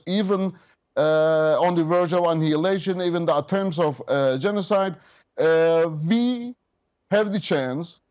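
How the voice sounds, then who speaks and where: A man's voice: a little high, a little mellow. Fifty-something man, muffled remote line. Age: 50s. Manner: measured, official, many hesitations. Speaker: government special representative. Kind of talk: remote interview guest.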